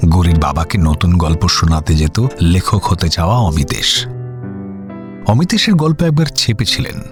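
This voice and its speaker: নিচু, ওঠানামা করা গলায় পুরুষ গল্পকথক. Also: male voice, low voice. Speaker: story narrator. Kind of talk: scripted radio story narration. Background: background music.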